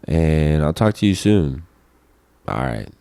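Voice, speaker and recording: Very deep-voiced young man, laid-back. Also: very animated, slow pace, gravelly, dark tone, dry recording. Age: 20s. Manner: laid-back, slangy, profane. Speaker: host of a personal vlog show. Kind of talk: casual rambling solo chat.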